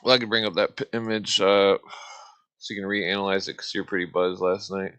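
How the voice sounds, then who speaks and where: A man's voice: low-pitched, steady tone, brisk, pushed and bright, clear, resonant tone. A man hosting a casual livestream chat, talking in a rambling, profane way. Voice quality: smoker's cough. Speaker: livestream host.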